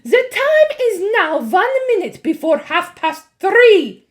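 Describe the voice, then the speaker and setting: A shrill, cruel witch queen, her voice climbing high and swinging wildly as she shrieks her orders. She drags her words out slowly for theatrical menace. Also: sing-song, exaggerated voice. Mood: announcing.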